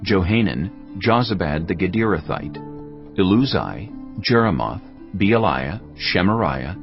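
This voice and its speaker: A man narrating scripture from a script in a measured, solemn manner. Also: sits low, dull audio. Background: background music.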